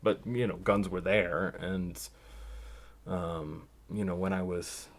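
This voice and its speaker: Low-pitched man, halting and reflective. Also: steady tone. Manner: halting, thoughtful, pauses mid-sentence. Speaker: podcast guest. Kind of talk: casual, reflective personal storytelling.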